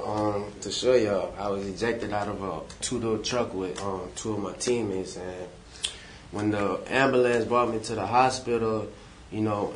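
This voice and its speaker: A man's voice: on the low side, reverberant, slight background noise. A twenty-year-old man co-hosting a talk, telling a personal story with energy and speaking straight to the listeners. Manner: lively storyteller, addresses listeners directly. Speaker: podcast co-host. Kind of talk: casual storytelling.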